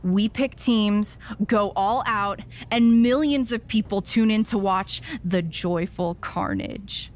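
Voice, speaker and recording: A woman's voice: mid-range voice, takes it slowly, few pauses, natural voice. A woman playing a brash, rebellious pro wrestler in a video game cutscene, cocky and goading as she talks. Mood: brash, excited. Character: brash, rebellious, eager female pro wrestler pitching chaos.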